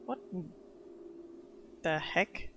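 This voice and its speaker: A man doing casual live game commentary, calm and wry, asking bemused questions aloud as he plays. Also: extremely slow. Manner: even-toned and calm. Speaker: game streamer. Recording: noisy stream with game ambience behind.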